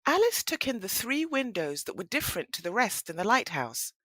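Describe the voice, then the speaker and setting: A woman's voice: on the high side, sing-song, fairly quick, fairly bright tone, no echo. A woman narrating a scripted children's story for an audio play.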